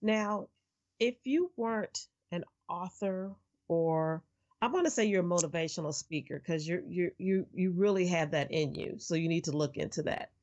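A woman's voice: lowish voice, normal speed, darkish tone, dull audio. A woman interviewing a guest, with an encouraging, affirming manner. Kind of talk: interview conversation.

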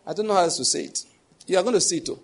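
A man's voice: sits high, lively intonation, very fast, husky. A young adult man preaching a sermon, emphatic, repeating key phrases and putting questions to the congregation. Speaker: pastor.

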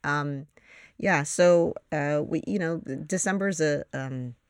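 A woman's voice: low-pitched, slow pace, clear, resonant tone, no echo, studio quality. A woman co-hosting a casual film podcast, teasing and blunt, laughing often. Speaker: podcast co-host.

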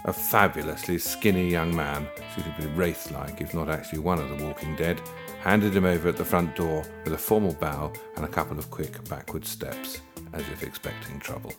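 Very deep-voiced man narrating. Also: steady tone, moderate pace. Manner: dry, wry storytelling. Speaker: writer reading his own story. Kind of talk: scripted first-person narration. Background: guitar music.

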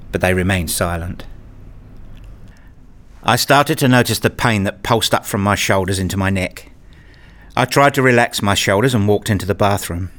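A man's voice: fairly low pitch, fairly bright tone, close to an everyday voice. A man playing a weary, hurt father who narrates his own story in a radio play. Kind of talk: first-person radio play narration and acting.

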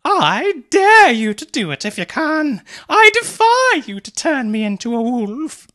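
A man voicing a provocative young society wife in a high falsetto. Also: very animated, a little slow, pushed and bright, exaggerated voice.

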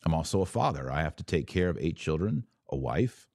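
A middle-aged man hosting a religious commentary podcast, speaking in deliberate, stressed phrases with pauses. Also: extremely low pitch, very animated, darkish tone, slightly rough, no echo, clean studio sound. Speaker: podcast host. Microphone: close studio microphone.

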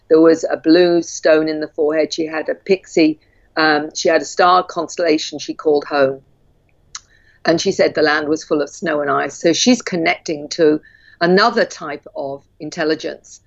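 A woman guest in an interview who explains at length in a measured way, with frequent ums. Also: low voice, dull audio.

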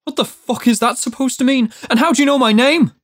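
Narrator doing a mouthy angry bloke, higher and louder, indignant, audiobook character, dry close sound. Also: fairly quick, no echo. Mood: alarmed, angry. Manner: indignant, sweary outbursts. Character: foul-mouthed, belligerent, rattled man stuck in limbo.

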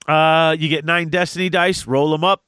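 A man's voice: a little high, fairly even intonation, normal speed, fairly bright tone, smooth, no echo, studio quality. A congested man co-hosting a casual tabletop gaming chat, reading rules aloud in eager bursts. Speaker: podcast co-host.

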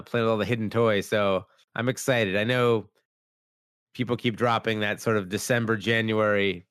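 A man's voice: on the low side, fairly bright tone, clear, resonant tone, dry recording, clean studio sound. A young man hosting a casual chat, rambling with lots of fillers, his voice a little worn after being sick. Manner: rambling, many "like" fillers, acts out quoted lines. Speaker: podcast host.

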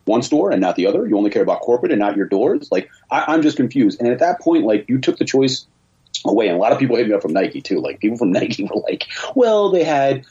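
A man's voice: medium pitch, big pitch swings, fast talker, warm tone, breathy edge. A man speaking as a podcast guest over an internet call, giving his opinions bluntly and colloquially with emphatic repetition. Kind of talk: casual opinionated discussion. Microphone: remote internet call. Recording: dull lo-fi internet call audio.

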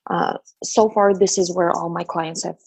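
A woman's voice: fairly low pitch, fairly even intonation, normal speed, creaky, darkish tone, breathy edge, dry recording. A woman from Bulgaria with a Bulgarian accent, a guest in a casual interview, talking chattily with frequent fillers and laughs. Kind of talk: conversational interview.

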